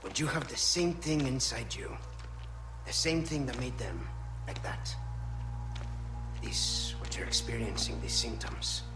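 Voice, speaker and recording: An actor playing a roguish, suave man in his thirties with a Spanish accent and teasing, dramatic pauses in a video game. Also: medium pitch, animated, close to an everyday voice. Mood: grave. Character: roguish, charming Spanish man with secret knowledge. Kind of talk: cinematic video game acting. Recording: roomy game cutscene with music underneath.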